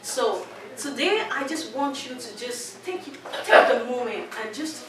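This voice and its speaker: A woman's voice: a little high, animated, measured pace, very noisy. A woman hosting a community celebration, talking to the audience with enthusiasm and encouragement, often laughing. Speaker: event host. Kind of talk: lively community event hosting. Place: large echoey community hall. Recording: echoey hall recording from far off. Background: crowd murmur and chatter.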